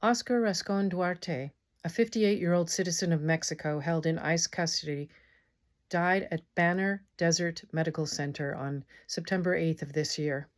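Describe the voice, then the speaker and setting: A woman giving a formal scripted reading for a memorial. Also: deep voice. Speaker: reader in an online remembrance video.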